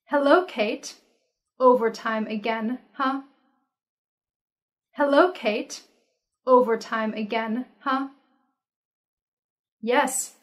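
Slow, careful young woman teaching English. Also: on the high side. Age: young adult. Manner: slow, careful, clearly enunciated. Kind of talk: reading practice dialogues aloud, each line twice. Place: indoor studio with red curtain backdrop.

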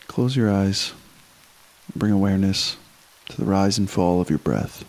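A man leading a guided meditation, giving slow, unhurried instructions with long pauses. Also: deep voice, a little mellow, low-quality recording.

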